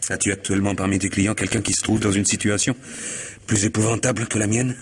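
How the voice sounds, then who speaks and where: A man acting in a French radio mystery play as a betrayed, distraught husband, sardonic and agitated while forcing himself to stay calm. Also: on the low side, fast talker, husky. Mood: bitter, agitated.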